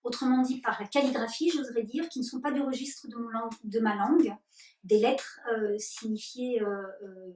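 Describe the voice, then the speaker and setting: A woman leading spiritual workshops, answering interview questions slowly and deliberately with many hesitations. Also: fairly high pitch, steady tone, a little mellow. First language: French. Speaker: workshop leader. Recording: roomy and distant.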